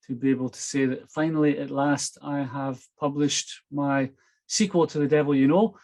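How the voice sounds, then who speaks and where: A man's voice: mid-range voice, steady tone, moderate pace, a little mellow. Scottish man, chatty author. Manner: enthusiastic, chatty. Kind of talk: chatty intro and reading from his novel.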